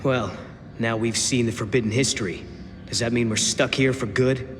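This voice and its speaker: A man's voice: medium pitch, steady tone, normal speed, noisy background, natural voice. A man playing a determined, wry young hero in a video game. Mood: uneasy. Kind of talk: video game cutscene acting. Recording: reverberant game audio under loud music.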